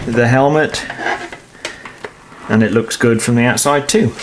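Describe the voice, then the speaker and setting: A man's voice: medium pitch, noisy background. A British man presenting an informal step-by-step how-to demonstration in a matter-of-fact, explanatory way. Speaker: presenter of a how-to product installation video.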